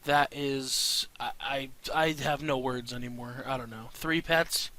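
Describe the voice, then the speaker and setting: A man's voice: medium pitch, steady tone, measured pace, sharp tone. A man giving casual gameplay commentary in a rambling, informal way, as a video creator talking to viewers.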